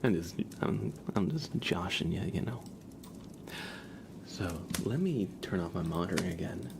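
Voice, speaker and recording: A man hosting a relaxed livestream in a soft, hushed, easygoing voice. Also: deep voice, moderate pace, gravelly. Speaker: livestream host and ASMR streamer. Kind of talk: soft casual livestream chat between songs.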